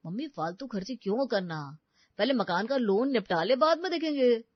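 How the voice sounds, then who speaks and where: A man's voice: very high voice, lively intonation, normal speed, no echo. Guy acting a tightfisted dad in a family story, kinda preachy, brushes everyone off, dry lo-fi sound. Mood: breezy, dismissive. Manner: lecturing, brushing off requests. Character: stingy, dismissive young father obsessed with his new house. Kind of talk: acted Hindi audio story dialogue.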